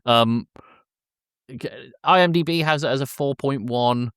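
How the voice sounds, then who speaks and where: A man's voice: medium pitch, a little slow, fairly bright tone, clear, resonant tone, dry recording, studio quality. A man hosting a casual film discussion podcast, often hesitating and correcting himself as he goes. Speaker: podcast host.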